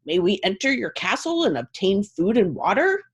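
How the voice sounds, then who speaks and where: A woman's voice: medium pitch, big pitch swings, moderate pace, husky. A woman voicing a courteous male knight from a storybook, with gallant, formal speech. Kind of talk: storybook character voice.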